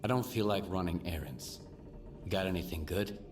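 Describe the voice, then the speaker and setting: A man's voice: sits low, moderate pace, a little mellow, a little hiss or hum, lightly acted. A man playing a terse, tough newcomer scavenger in a video game, speaking bluntly. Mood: blunt, guarded. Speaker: player character.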